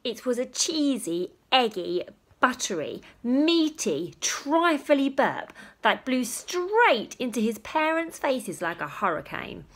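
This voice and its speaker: A woman reading a children's story aloud with dramatic, emphatic storytelling and drawn-out words. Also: a little high, sing-song. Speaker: storybook reader. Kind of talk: expressive children's story narration.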